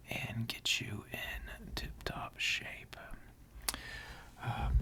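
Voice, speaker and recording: A person whispering an ASMR repair roleplay in a breathy, gentle and reassuring way, with unhurried, hesitant pauses. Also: medium pitch. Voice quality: breathy, mostly unvoiced whisper. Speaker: ASMR creator role-playing a robot repair technician.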